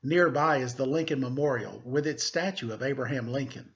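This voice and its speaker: A man speaking as an elementary teacher, reading aloud to pupils with deliberate emphasis and informal asides. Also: medium pitch, muffled recording. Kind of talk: read-aloud lesson with casual asides.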